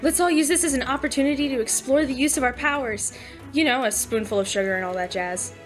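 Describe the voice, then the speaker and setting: A woman's voice: high-pitched, fast, soft background music, natural voice. A woman playing a friendly, knowledgeable young cadet in an audio drama, explaining things sincerely. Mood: helpful.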